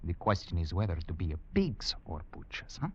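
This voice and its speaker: An actor playing a scheming, ingratiating legionnaire informer with an Italian accent, wheedling conspiratorially in broken English in a 1940s radio drama. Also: male voice, deep voice, very animated, fairly quick, breathy edge, full character voice. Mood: sly, insinuating. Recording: muffled vintage radio recording.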